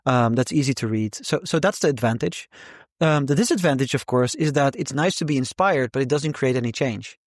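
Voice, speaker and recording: A man with a Dutch accent speaks as an interview guest in a relaxed, thoughtful way, often doubling words while he finds his phrasing. His voice sits at a middle pitch. The sound is dry and close, with no room around it. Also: a little fast, dry recording. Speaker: author guest. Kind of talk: casual interview talk.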